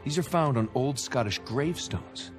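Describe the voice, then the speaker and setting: A man's voice: mid-range voice, expressive, moderate pace, music in the background, lightly acted. A man in his thirties acting an eager, cocky younger treasure hunter in a cinematic video game, with casual, persuasive delivery. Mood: intrigued. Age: 30s.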